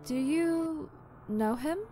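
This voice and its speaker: A woman's voice: high-pitched, takes it slowly. A woman playing a secretive, playful female co-worker in an audio drama, with breezy, teasing banter. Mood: curious, lively. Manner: breezy, flirty banter with laughs. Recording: music bed behind the voice.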